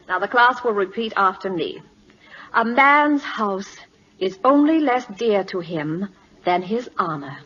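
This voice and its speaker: A spirited, proper lady teacher in an old radio play. She speaks in formal, precise phrases with lively swings of pitch, on a dull recording. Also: a little slow, husky. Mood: instructive. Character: prim, determined, spirited woman schoolteacher in Siam. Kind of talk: 1940s radio drama acting.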